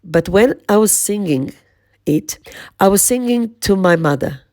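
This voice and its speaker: Slow, husky-toned woman podcast host. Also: medium pitch, expressive, mellow. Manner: slow, measured, lingering on lists. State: voice strained, losing her voice. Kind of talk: reflective spoken podcast monologue.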